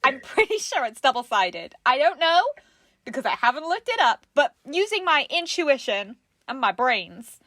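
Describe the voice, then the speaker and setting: A woman's voice: sits high, big pitch swings, moderate pace, a bit bright, no room sound, studio quality. A woman chatting casually and playfully as co-host of a craft show, giggly and enthusiastic. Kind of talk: casual comedic chat.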